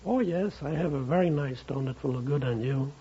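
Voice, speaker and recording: A man's voice: mid-range voice, fairly quick. A man answering questions as a contestant on a 1950s radio quiz show. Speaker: quiz contestant, diamond merchant. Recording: noisy muffled 1950s radio, studio audience. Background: studio audience.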